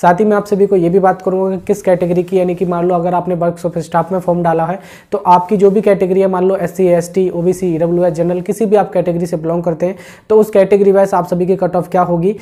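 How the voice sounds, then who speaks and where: Fast-talking man explaining exam updates. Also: sits high, level tone, mellow. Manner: repetitive, with frequent check-in tags. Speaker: exam-update YouTube host. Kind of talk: informal explanatory exam briefing.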